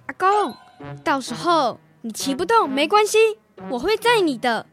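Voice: sits high, sing-song, moderate pace, fairly bright tone, faint music behind. A child performer playing a cheerful young boy devoted to his grandfather in a radio drama. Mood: eager, caring.

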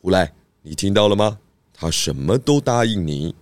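A man with a Taiwanese Mandarin accent playing a calm, clever pig detective in a children's radio drama, talking in a measured, persuasive way. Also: low voice, no room sound.

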